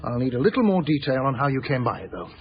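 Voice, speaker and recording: An actor playing a polite, rueful small-time art dealer in a radio drama, speaking in a careful, well-spoken way. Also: male voice, medium pitch, sing-song, quick pace, lightly acted. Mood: smooth, persuasive. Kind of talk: radio drama dialogue and narration. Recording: slightly dull radio broadcast sound.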